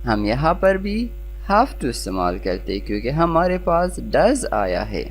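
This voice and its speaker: Pakistani-accented grammar instructor, mid-pitched, very varied intonation, deliberate enunciation, faint humming noise on the recording. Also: very animated. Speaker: English grammar teacher. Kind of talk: scripted lesson, explaining and reading example sentences.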